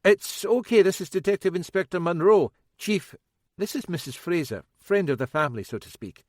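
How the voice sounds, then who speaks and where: A man's voice: sits high, expressive, normal speed, no echo, studio quality, pitched above the performer's own voice. A man voicing a cheerful young Scottish constable with an obliging, chirpy manner and a Scottish accent.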